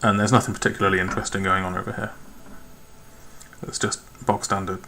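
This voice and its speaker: A man chats casually to a live audience while he paints miniatures, often trailing off into quiet mutters to himself. His voice sits a little low but comes across very bright and crisp. A faint hiss of noise runs under a cheap webcam setup at his hobby desk. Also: little pitch movement, fast. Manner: muttering to himself, chatty asides to viewers. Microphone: webcam perched on a lamp.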